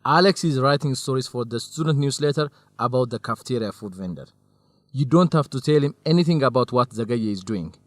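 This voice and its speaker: A man's voice: mid-range voice, animated, fairly quick, lightly acted. Man acting young male student, protective friend, teasing, mid-range voice, radio drama, no room echo. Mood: warning. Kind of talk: radio drama dialogue for English learners.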